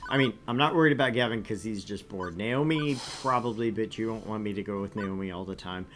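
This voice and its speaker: A man's voice: fairly low pitch, a little fast, background noise. A young man co-hosting a casual let's-play stream, laid-back and joking at his own expense. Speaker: game streamer co-host.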